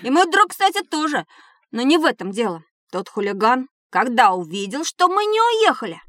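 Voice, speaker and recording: A woman's voice: high-pitched, moderate pace, no echo, studio quality. A woman playing an eager, boastful schoolboy telling stories in a scripted educational audio drama. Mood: animated. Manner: animated storytelling.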